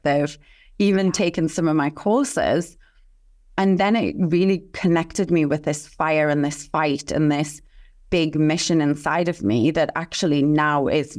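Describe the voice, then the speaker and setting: A woman's voice: deep voice, darkish tone. A woman speaking as a guest expert in an interview, earnest and passionate, telling a personal story with conviction. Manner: earnest, passionate, talks of mission and calling. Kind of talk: candid interview talk.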